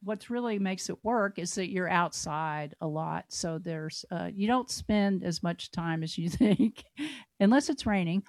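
A woman guest in an interview, easygoing and quick to laugh. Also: fairly low pitch, darkish tone, no room sound. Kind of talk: casual chat.

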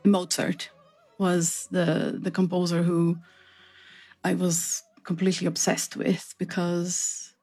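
A woman's voice: fairly low pitch, completely flat delivery, slow, dark tone, husky, soft background music. A woman from southern Brazil with a Brazilian accent, speaking conversationally about her own life as an interview guest. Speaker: interview guest, classical singer. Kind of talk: conversational personal storytelling.

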